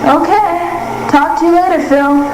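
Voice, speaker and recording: A woman playing a flirtatious, melodramatic party-goer in a comedy radio play, with a theatrical, drawn-out delivery. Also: very high-pitched, fairly even intonation, noisy background. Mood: playful, coy. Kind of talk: comedy radio play acting before a live audience. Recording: echoey old cassette of live stage performance.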